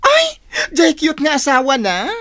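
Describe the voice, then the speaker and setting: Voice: high-pitched, huge pitch swings, unhurried, fairly bright tone, full character voice. Flighty gossip, wildly swooping voice. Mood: gushing. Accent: Filipino. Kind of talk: Filipino radio comedy drama acting.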